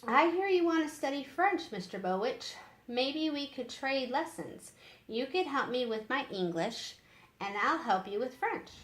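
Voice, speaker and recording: A woman reading the part of an excitable, insistent Frenchman teaching pronunciation in a storybook. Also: high voice, a little slow. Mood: eager. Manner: emphatic, protesting. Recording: echoey room.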